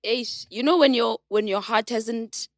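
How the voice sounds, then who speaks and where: A woman on a podcast panel, giving thoughtful, expressive advice in casual chat. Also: on the high side, takes it slowly, dry recording, studio quality.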